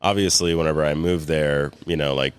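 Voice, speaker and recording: Very deep-voiced man, rambling. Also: steady tone, brisk, clear, resonant tone. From California. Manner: rambling, many fillers and restarts, chuckles often. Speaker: guest, cigar company co-founder. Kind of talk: casual interview chat.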